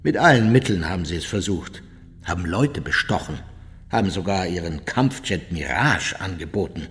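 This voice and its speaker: An actor playing an older, unrepentant and boastful German ex-officer, reminiscing huskily in a documentary radio drama monologue. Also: male voice, deep voice, lively intonation, moderate pace, fairly bright tone, husky, exaggerated voice. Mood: aggrieved, weary. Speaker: actor reading a war criminal's recollections.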